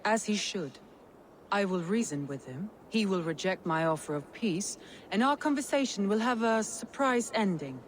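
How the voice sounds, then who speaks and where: A woman's voice: mid-range voice, expressive, moderate pace, a little hiss or hum, lightly acted, poor audio. Commanding female Viking jarl played by an actress. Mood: grim resolve. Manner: commanding, declamatory. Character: proud, determined female Viking jarl, Soma. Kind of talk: video game cutscene acting.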